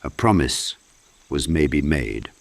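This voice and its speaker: Lost, half-mad storybook knight acted by a male narrator: low voice, halting, disoriented, earnest. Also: expressive, creaky, heavily acted. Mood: lost, pleading. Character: confused, forgetful, half-mad male knight on a desperate quest.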